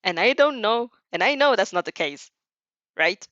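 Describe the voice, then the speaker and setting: A woman puts on an exasperated, concerned man from an audio drama, speaking loudly and emphatically. The recording is dry and somewhat dull. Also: mid-range voice, animated, moderate pace, dry recording, natural voice. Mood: worried, exasperated.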